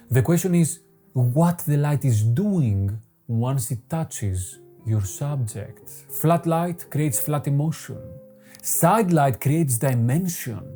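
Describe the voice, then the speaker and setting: Man, very mellow dark tone, measured scripted narration, soft guitar music behind. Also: medium pitch. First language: Greek. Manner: measured, poetic, deliberate phrasing. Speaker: photography channel host. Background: faint guitar music.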